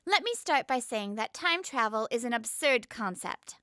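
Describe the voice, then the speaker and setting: Woman acting high-voiced, poised young girl genius. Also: lively intonation, normal speed, sharp tone, no echo, studio quality. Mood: assured lecturing. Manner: polite, articulate lecturing. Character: poised young female genius scientist giving a lecture. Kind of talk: visual novel fan dub acting.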